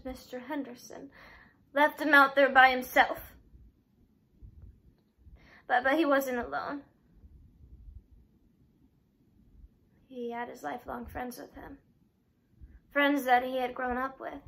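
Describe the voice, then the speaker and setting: High-voiced grieving father, acted by a young woman. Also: creaky, a bit bright, full character voice. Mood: quiet grief. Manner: halting, emotional, with repeated words and long pauses. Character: grieving father addressing his son's killer in court. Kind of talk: dramatic monologue.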